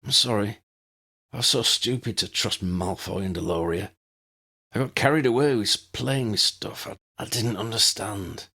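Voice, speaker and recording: A man voicing a weak, remorseful young wizard who is dying, in a hoarse croak, for an audiobook. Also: lowish voice, moderate pace, bright, forceful tone, full character voice.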